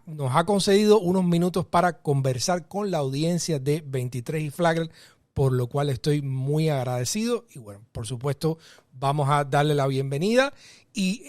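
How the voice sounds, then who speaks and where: A man hosting an interview, introducing his guest with courteous, measured phrasing and small hesitations. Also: on the high side, dry recording, clean studio sound. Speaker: interview host. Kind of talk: formal interview.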